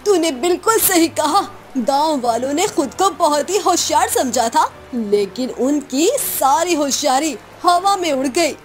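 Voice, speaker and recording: A woman's voice: sits high, animated, unhurried, hardly any pauses. A woman voicing a greedy, scheming mother of a grown son in a scripted cartoon story. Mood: smug.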